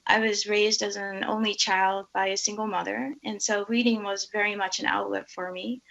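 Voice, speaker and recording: A woman's voice: medium pitch, gravelly, bright, forceful tone, highs cut off. A middle-aged woman from the Netherlands, a native Dutch speaker, introducing and reading a poem. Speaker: hospital research director reading a poem. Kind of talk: personal introduction and poetry reading.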